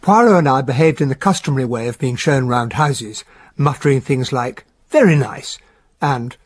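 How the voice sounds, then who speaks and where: An actor playing an affable English gentleman, a detective's genteel, easygoing companion, narrating and acting in a radio drama with an English accent. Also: mid-range voice, normal speed, husky, no echo, natural voice. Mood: wry narration.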